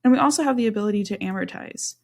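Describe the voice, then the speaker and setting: A woman presenting a software walkthrough at a medium pitch. She explains things step by step in an instructional manner, as in a screen-share tutorial. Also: rapid-fire, creaky. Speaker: software demonstrator.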